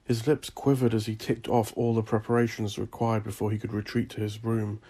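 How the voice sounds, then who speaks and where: A man reading dark fantasy horror as a scripted story, with a measured, deliberate delivery. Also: fairly low pitch, level tone, husky. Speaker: audiobook narrator.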